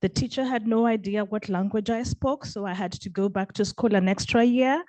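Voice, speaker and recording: A woman from Kenya with a Kenyan accent, speaking up from the audience at a panel in an earnest, measured way. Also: medium pitch, darkish tone, no echo. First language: Kenyan vernacular. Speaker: audience member asking a question.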